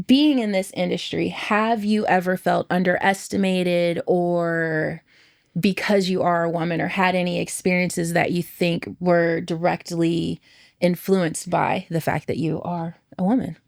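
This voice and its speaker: A woman with a lowish, gravelly voice who leads the conversation in an upbeat, chatty way. The sound is dry and close, with no room echo. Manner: upbeat, conversational, says "like" often. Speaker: roundtable moderator. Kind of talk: casual roundtable chat.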